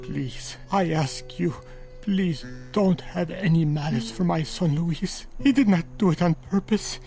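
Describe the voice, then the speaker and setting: Humble old man, slow, sorrowful pleading for radio play, faint music behind. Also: fairly high pitch, gravelly, mellow. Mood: desperate pleading. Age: older. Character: humble, grieving old Mexican father pleading for his son. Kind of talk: radio drama acting.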